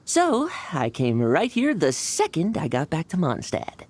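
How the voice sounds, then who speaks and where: A woman's voice: deep voice, wildly swooping pitch, unhurried, background noise, exaggerated voice. A woman voicing a brash, cheerful, battle-eager young male knight in a video game, breezy and informal. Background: game music.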